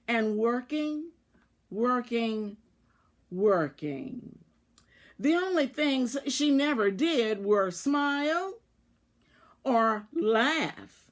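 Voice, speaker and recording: A woman reading a picture book aloud for children in a measured way, pausing to address young listeners. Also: high-pitched. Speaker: volunteer children's story reader.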